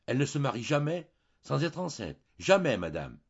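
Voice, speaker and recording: A man's voice: mid-range voice, big pitch swings, normal speed, husky, highs cut off, pitched above the performer's own voice. A man voicing a chatty, moralizing old country priest who turns sly and jovial. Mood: preachy.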